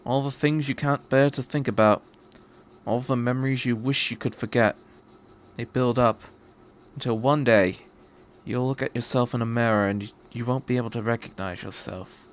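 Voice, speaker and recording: A man voicing a quirky, cheerful, curious time-traveling adventurer in a story reading, with a brisk, rambling delivery. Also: mid-range voice, steady tone, close to an everyday voice. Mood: grave. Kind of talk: audiobook-style story reading.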